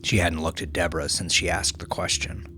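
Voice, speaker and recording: Low-pitched man narrating crime fiction over music. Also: steady tone, brisk, bright, forceful tone. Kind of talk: scripted dramatic audiobook narration.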